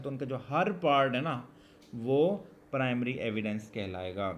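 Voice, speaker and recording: Slow-speaking Pakistani man lecturing, higher-pitched voice. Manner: deliberate, explanatory, repeats key phrases. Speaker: law lecturer. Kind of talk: exam lesson, reading English law text then explaining in Urdu.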